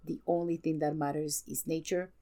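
A woman in a casual film discussion, speaking earnestly and emphatically and pausing to search for words. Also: deep voice, flat delivery, warm tone. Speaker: podcast guest.

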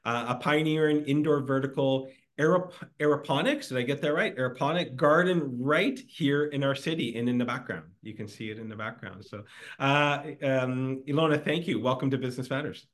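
A man's voice: medium pitch, fairly quick. Male host, casual and eager, filler-heavy questions, mid-range voice. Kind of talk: casual business interview. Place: business with indoor garden.

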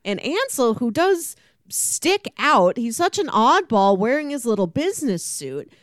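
Higher-pitched woman chatting, close dry sound. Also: big pitch swings, unhurried, dry recording. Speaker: podcast co-host discussing a graphic novel. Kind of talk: casual book-discussion chat.

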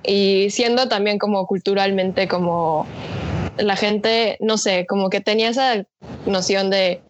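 A woman's voice: medium pitch, fairly even intonation, measured pace, highs cut off, a little hiss or hum. A woman in her twenties, chatting casually as a guest, hesitant and often laughing. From Mexico. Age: 20s. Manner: laughing, hesitant, lots of fillers. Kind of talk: casual chat.

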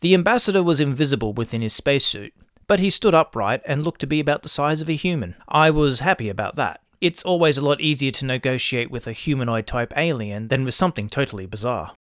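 A man's voice: medium pitch, animated, quick pace, fairly bright tone, no room sound, studio quality. A man reading a scripted first-person pulp science fiction story as narrator. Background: faint music.

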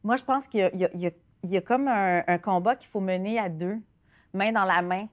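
A woman's voice: medium pitch, fast. A woman with a Quebec French accent hosting an interview, speaking in an engaged, emphatic way. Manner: animated, emphatic, warm encouragement. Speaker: interviewer host.

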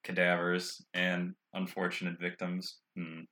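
A man's voice: low-pitched, monotone, measured pace, sharp tone. A man improvising casually as a player in a tabletop role-playing game, slipping in and out of character with colloquial, exasperated talk.

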